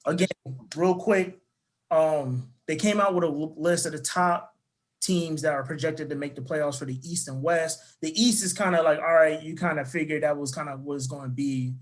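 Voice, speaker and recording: A man with a somewhat raised voice hosts a casual sports podcast. He moves the talk along briskly, reading through a list and inviting the others to weigh in. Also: a little high, steady tone. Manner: talks fast, steers the segment. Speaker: podcast host.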